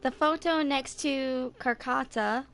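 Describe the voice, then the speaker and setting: A woman's voice: high voice, takes it slowly. A woman in her twenties giving casual, chatty commentary as she plays a video game. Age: 20s. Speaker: gaming video host. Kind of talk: casual game playthrough commentary, reading text aloud.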